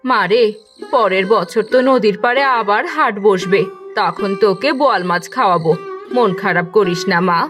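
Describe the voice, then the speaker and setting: A woman's voice: medium pitch, normal speed, music in the background, close to an everyday voice. A woman voicing a weary but loving village mother in a cartoon. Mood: soothing. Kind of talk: cartoon voice acting.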